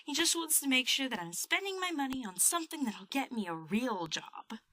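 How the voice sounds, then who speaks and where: A woman's voice: high voice, sing-song, bright, forceful tone, dry recording, clean studio sound, full character voice. A woman acting a hesitant, apologetic young college student in a naturalistic audio drama. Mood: timid, downcast. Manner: halting, apologetic, unsure. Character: hesitant young female college student, hurt but quietly resolute.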